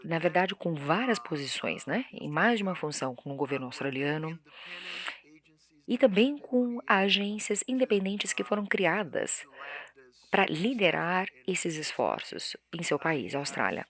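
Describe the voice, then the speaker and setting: Lady moderator welcoming folks at an event, lowish voice, keeps rewording stuff. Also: very animated, a little slow. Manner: rephrasing with tag questions. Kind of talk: formal welcome speech. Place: auditorium stage.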